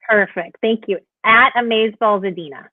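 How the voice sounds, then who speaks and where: A woman's voice: medium pitch, moderate pace, no room sound. An American woman chatting as a motivational interview guest, gushing and emphatic, heard over a call. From Hawaii, United States. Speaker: interview guest, leadership coach. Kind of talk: casual motivational chat. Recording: tinny, narrow phone-like call audio.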